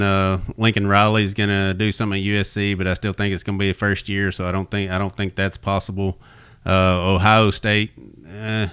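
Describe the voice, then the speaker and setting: A man's voice: lowish voice, fairly bright tone, clear, resonant tone, dry recording, studio quality. A man hosting a podcast, casually talking sports and putting informal, wandering questions to his guest. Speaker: podcast host.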